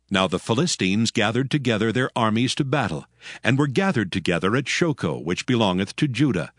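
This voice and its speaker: Deep, expressive male scripture narrator. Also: no echo, studio quality. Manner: solemn, measured storytelling. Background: music.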